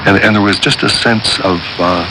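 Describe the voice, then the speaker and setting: Low-pitched man, reflective and sentimental. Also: steady tone, normal speed, background noise. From Canada. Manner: reflective, searching for words, sentimental. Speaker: radio listener. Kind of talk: personal reminiscence.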